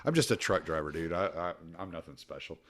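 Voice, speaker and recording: A man with a lowish voice hosts a casual podcast conversation over a remote line. He talks bluntly and swears now and then. He speaks of himself with easy modesty. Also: animated, fast, crowd noise. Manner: blunt, swearing, self-deprecating. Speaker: podcast host. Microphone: remote call.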